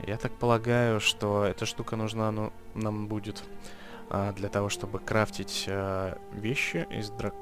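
A man's voice: fairly low pitch, little pitch movement, a little slow. A man giving casual gameplay commentary, muttering item names and making dry, sarcastic asides. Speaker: let's play host. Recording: voice over game music.

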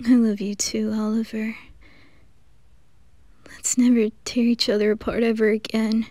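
Woman playing a mellow, tender boy in love. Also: fairly high pitch, flat delivery, a little slow, no room sound, close to an everyday voice. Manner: gentle, breathy, often near whispering. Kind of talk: amateur romantic ASMR roleplay.

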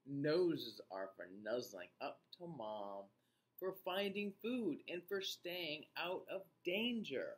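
A man in his forties reading a picture book aloud to young children in an expressive, teacher-like way, with playful asides. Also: fairly high pitch, slow pace. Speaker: children's read-aloud host. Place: small indoor room.

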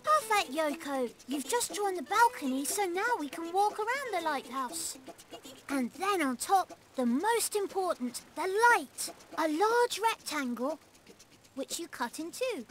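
A woman voicing a calm, helpful young male rabbit in a children's cartoon, who patiently explains how to draw step by step. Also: very high-pitched, unhurried, exaggerated voice.

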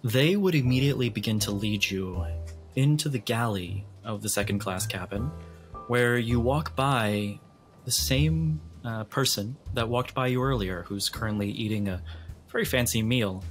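A man's voice: mid-range voice, expressive, moderate pace, faint music behind. A man narrating a tabletop roleplaying game as its game master.

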